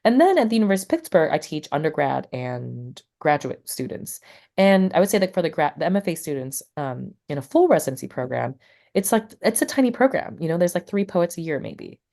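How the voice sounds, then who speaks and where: A woman's voice: low voice, big pitch swings, fairly quick, creaky, warm tone. A woman poet and teacher talking casually in an interview, rambling and full of fillers like "like" and "you know.".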